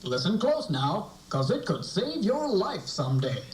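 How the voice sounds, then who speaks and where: Man voicing an echoey, animated puppet teacher. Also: high-pitched, unhurried, heavily acted. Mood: friendly, instructive. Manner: animated, instructive, addressing children. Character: friendly puppet character teaching children fire safety. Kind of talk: scripted puppet show narration for children. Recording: echoey, distant puppet show sound on VHS.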